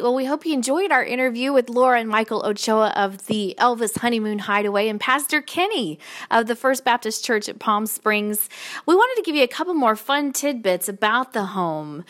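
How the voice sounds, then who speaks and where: A woman with a slightly high-pitched voice hosts a podcast. She talks in a chatty, conversational style, as if to both her guests and her listeners. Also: expressive, normal speed, fairly bright tone. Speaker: podcast host. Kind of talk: chatty podcast hosting and interviewing.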